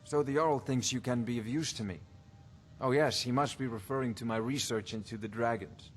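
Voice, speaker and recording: A haughty male court wizard from a fantasy game, played by an actor, lectures with scholarly self-importance. His mid-pitched voice is precise and condescending, with faint ambience behind it. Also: brisk, slight background noise, close to an everyday voice. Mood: condescending, eager. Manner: pompous, condescending, wordy and precise. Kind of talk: fantasy video game voice acting.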